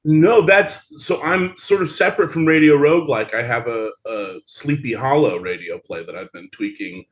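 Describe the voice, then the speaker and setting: A man's voice: medium pitch, expressive, moderate pace, lo-fi sound. A man talking as a theatre creator guest in a casual interview, long-winded and rambling with frequent restarts.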